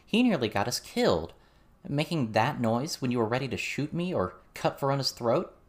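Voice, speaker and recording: Man acting young girl, storybook character, raised pitch, blunt, fierce, suspicious, challenging. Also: big pitch swings, moderate pace, lightly acted. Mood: accusing.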